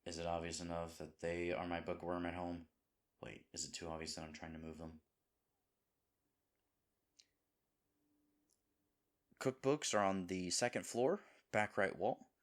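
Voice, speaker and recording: A man's voice: sits low, normal speed. A man playing a devoted, goofy boyfriend nervous about proposing, rambling and chiding himself earnestly in a scripted romantic audio roleplay. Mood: eager, self-chiding.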